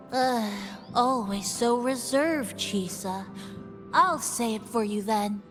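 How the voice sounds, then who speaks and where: A woman voicing a playful, teasing young cafe companion in an anime-style game. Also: a little high. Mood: gently teasing. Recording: music behind the voice.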